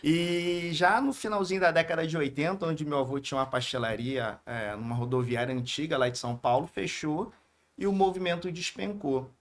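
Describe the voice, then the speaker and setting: A man's voice: on the high side, brisk. A man with a strong carioca accent, an easygoing podcast guest chatting about his life. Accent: carioca, Rio de Janeiro. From Rio de Janeiro, Brazil. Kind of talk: casual podcast conversation.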